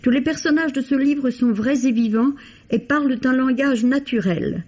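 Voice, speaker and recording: A woman literary critic reading a scripted radio book review in a measured, formal way. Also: high-pitched, darkish tone. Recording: dull old radio recording.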